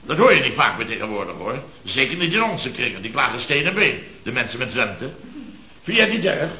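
Een acteur die een mopperende, opschepperige en zielige rijke aannemersbaas speelt, pompeus en nadrukkelijk, in een oude radiokomedie. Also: male voice, mid-range voice, sing-song, a little fast, pushed and bright. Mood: aggrieved, blustering. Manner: pompous, grumbling, emphatic, repeats himself. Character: blustery, self-pitying, boastful rich building contractor boss. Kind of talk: 1970s radio comedy acting. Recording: dull, roomy vintage radio recording.

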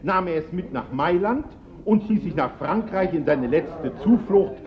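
An actor playing a flustered, pedantic official giving a formal, deliberate lecture in a German radio play. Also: male voice, sits high, expressive, lo-fi sound. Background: crowd in a hall.

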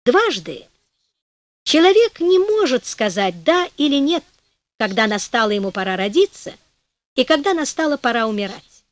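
A woman voicing a kind, wise old wanderer who speaks gently and calmly. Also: sits high, moderate pace, no echo. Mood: gentle.

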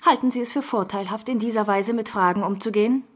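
An actress playing a pointed, persistent political journalist in a radio drama interview scene. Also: female voice, medium pitch, quick pace, natural voice. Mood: pointed, challenging.

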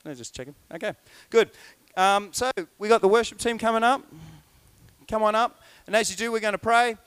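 A male pastor with a high, smooth, clean voice, recorded close and dry. He runs through church announcements in a chatty way, tossing in jokes and asides before he leads a prayer. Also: a little fast, a bit bright, no room sound. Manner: jokey, chatty, breaks off into asides.

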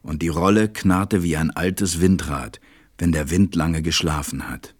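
A man acting a reflective, tender pilot who narrates a radio play in a measured, gentle way. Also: sits low. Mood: wondering. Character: reflective adult male pilot, tender storyteller.

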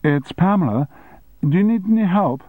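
A man's voice: high-pitched, animated, normal speed, dry recording, phone-quality sound, pitched above the performer's own voice. A man voicing a cheerful, polite young female secretary.

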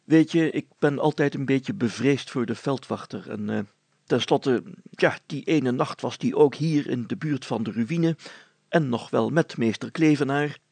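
Storybook mayor, played by a male reader: pompous and solemn, pitched up, with a gravelly edge. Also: measured pace, a little mellow, no room sound. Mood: anxious. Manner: solemn, declamatory, grandly formal. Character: pompous, proud village mayor, secretly a poet. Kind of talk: acted storybook dialogue.

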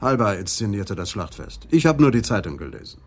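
An actor playing a blunt, grumpy police adjutant in a radio play, curt and disgruntled. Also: male voice, fairly low pitch, sing-song, brisk.

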